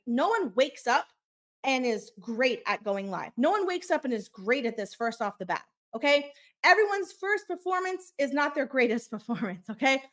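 A woman with a fairly high voice talks straight to her listeners in a solo podcast episode. She coaches with energy, firing off rhetorical questions and checking in with a quick "okay?". Now and then she stumbles on a word with a slight stutter. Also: a bit bright. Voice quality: high-pitched voice. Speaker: podcast host and business coach. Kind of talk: motivational solo podcast talk.

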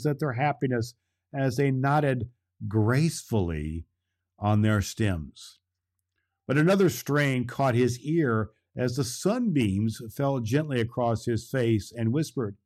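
A man's voice: on the high side, a little mellow. Man playing a folksy, jolly Santa Claus. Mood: gentle storytelling. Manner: folksy, kindly, rambling delivery. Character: jolly Santa Claus hosting his children's show. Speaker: host and storyteller. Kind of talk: children's podcast hosting and story reading.

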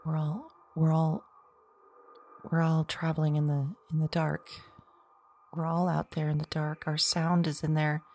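A frightened woman, played by a woman murmurs in a husky whisper. Her voice sits low and moves slowly, looping back over the same words. She sounds close to breaking. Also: flat delivery, mellow. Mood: hushed. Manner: whispering, repeating herself. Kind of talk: audio drama monologue.

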